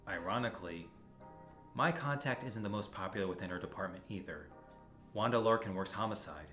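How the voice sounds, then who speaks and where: A man's voice: on the low side, brisk, a bit bright, close to an everyday voice. A man playing a determined, brooding masked vigilante in an audio drama, grim and resolute. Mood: somber. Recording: roomy echo, music bed underneath.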